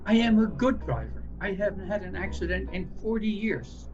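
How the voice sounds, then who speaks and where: A man's voice: high-pitched, lively intonation, moderate pace. Man playing a stubborn, boastful old husband, muffled. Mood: defensive. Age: older. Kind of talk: amateur audio play acting. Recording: muffled audio play with music behind.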